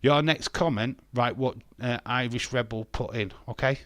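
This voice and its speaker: A man's voice: mid-range voice, moderate pace, fairly bright tone, no echo. A man in his fifties hosting a live stream, reading chat comments aloud and answering them bluntly with sarcasm and frequent swearing. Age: 50s.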